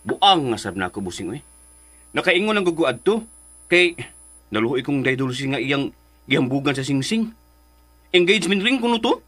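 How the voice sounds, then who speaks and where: A folksy, sympathetic sidekick from radio melodrama. He talks in a fairly high voice, colloquial and exclamatory, given to grumbling. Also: sing-song, normal speed, long pauses, a bit bright. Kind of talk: radio drama acting.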